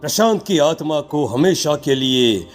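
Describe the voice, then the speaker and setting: Man playing a stern, higher-pitched holy man. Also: animated, a little slow, faint music behind. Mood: solemn, instructing. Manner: grave, solemn, accusing. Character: stern, accusing male occult holy man. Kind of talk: horror cartoon voice acting.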